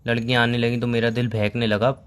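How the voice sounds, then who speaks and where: Young male, boyfriend in a relationship spat; insistent, halting, repetitive, mid-pitched conversational delivery. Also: flat delivery, clear, resonant tone. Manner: insistent, halting, repeats himself. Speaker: boyfriend in a phone argument. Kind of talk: informal relationship argument, conversational.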